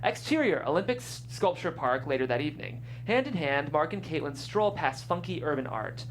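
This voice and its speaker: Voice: medium pitch, lively intonation, a little fast, slight background noise, poor audio. A reader narrating the stage directions in a script table read.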